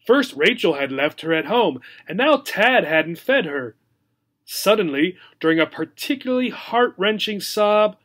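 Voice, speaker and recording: High-voiced man reading a children's story. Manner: expressive, explanatory storytelling for learners. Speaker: teacher reading aloud. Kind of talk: children's story read-aloud with simple recap.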